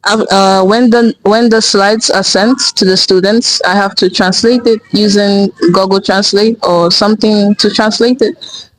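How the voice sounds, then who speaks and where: Teenage student answering, halting then steady. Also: medium pitch, no room sound, low-quality recording. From Nigeria. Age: teens. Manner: thoughtful, halting starts, then steady explaining. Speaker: interviewee, high school student. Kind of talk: casual interview answers.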